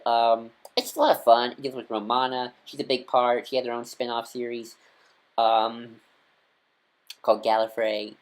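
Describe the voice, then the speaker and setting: A man giving casual, unscripted recommendations as a fan reviewer, rambling hesitantly and often correcting himself. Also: mid-range voice. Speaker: vlogger reviewing audio dramas.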